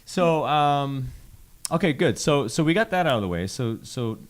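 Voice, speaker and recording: A man's voice: a little high, lively intonation, normal speed. A man hosting an interview, who keeps summing up his guest's answers before asking the next question. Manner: recaps answers, leads with "okay, so". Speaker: host. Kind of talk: casual interview chat.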